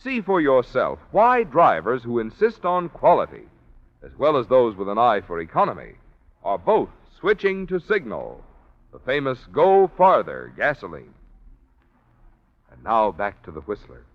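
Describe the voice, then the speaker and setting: A man's voice: mid-range voice, huge pitch swings, unhurried. A man working as a radio announcer, reading a scripted 1940s sponsor ad in a polished salesman's style. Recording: dull, lo-fi 1940s radio recording.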